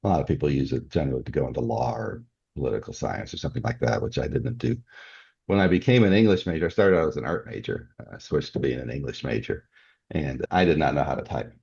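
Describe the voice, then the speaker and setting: Older male interviewee, extremely low and rough-edged, conversational, lo-fi sound. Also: animated, quick pace, a little mellow. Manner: chuckles often as he talks. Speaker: interview guest, author. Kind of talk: casual podcast interview. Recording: dull, muffled lo-fi audio.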